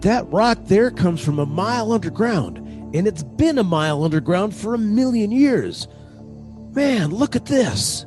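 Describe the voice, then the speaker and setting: A man's voice: high voice, big pitch swings, unhurried, darkish tone, faint music behind. An actor playing a timid, easily spooked young oil-rig man in radio horror drama style, hesitant and folksy. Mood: excited, insistent. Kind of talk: old-time radio horror drama acting.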